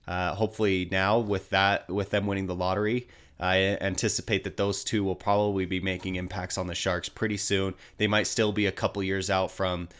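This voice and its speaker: A man's voice: low-pitched, little pitch movement, a little fast, fairly bright tone, clear, resonant tone. An American man getting over a cold, slightly nasal, giving casual hockey news commentary in a hesitant, rambling way.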